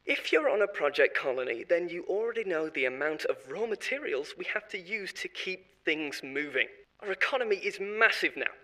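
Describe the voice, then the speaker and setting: A man's voice: high-pitched, expressive, a little fast, pushed and bright. An actor playing a boastful, self-satisfied former corporate consultant who chats on and laughs at his own jokes. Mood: smug, chatty. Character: smug, boastful male corporate insider. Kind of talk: audio drama monologue.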